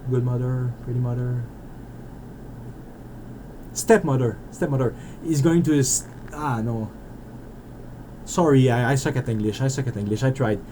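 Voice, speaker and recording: A man's voice: medium pitch, a little slow, pauses often, mellow, a little hiss or hum, poor audio. A man with a French Canadian accent, a French native speaker, rambling through a casual game developer vlog and restarting his sentences often. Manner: rambling and self-interrupting, with frequent restarts.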